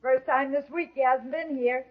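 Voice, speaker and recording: Elderly grandmother, high voice, querulous, blunt, old-time radio comedy, lo-fi vintage recording. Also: fairly even intonation, normal speed. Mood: querulous, nosy. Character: nosy, grumbling old grandmother with a sweet tooth. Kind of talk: 1930s radio comedy acting.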